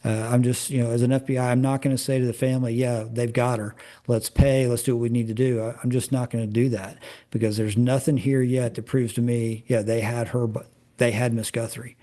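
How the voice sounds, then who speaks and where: Brief: former agent, male, even delivery, warm dark tone, halting. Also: medium pitch. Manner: measured, hesitant, many fillers. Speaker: guest, former FBI agent. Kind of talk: interview commentary.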